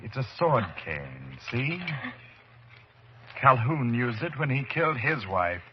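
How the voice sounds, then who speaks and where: A cold gentleman murderer in a vintage radio play. His mid-range voice is courteous yet menacing and moves freely in pitch. The recording is dull with faint noise. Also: big pitch swings, takes it slowly, slightly rough, heavily acted. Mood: cold menace. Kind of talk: 1940s radio acting.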